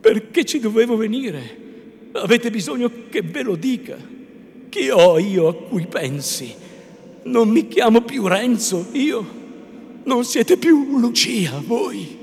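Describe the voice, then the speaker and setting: A man's voice: on the high side, expressive, drawn-out words, creaky, exaggerated voice. A man playing a wretched young peasant man in love in an audiobook drama, trembling, insistent and full of feeling. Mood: impassioned. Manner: trembling, insistent, repeating questions. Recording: long hall reverb, faint noise.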